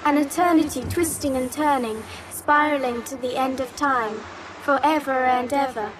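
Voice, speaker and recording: A woman's voice: high voice, moderate pace, noisy background, close to an everyday voice. A woman playing a dreamy, eerily happy young girl in a virtual-reality drama, speaking in lyrical, sing-song short phrases. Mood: entranced pleading. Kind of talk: scripted drama acting.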